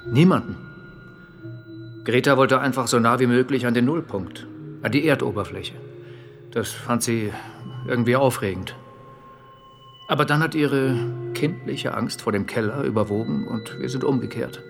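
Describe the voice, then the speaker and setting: Actor as guarded, polite mid-pitched man. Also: normal speed, creaky, long pauses, soft background music, lightly acted. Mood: evasive. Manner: reserved, evasive, courteous. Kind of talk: radio drama acting.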